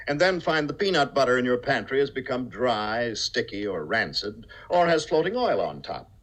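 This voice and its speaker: A man's voice: mid-range voice, fairly bright tone, poor audio. A man announcing and reading ads in 1950s radio style, emphatic and mock-serious. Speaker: announcer and pitchman. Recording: vintage radio broadcast, faint music.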